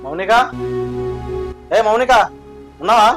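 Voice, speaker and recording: A man's voice: high-pitched, expressive, takes it slowly, over music. A man playing a villager who calls out for a young woman, speaking. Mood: concerned.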